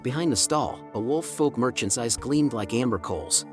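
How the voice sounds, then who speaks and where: A man's voice: medium pitch, animated, moderate pace. A man narrating a scripted fantasy story in a dramatic, ornate storyteller manner. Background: music.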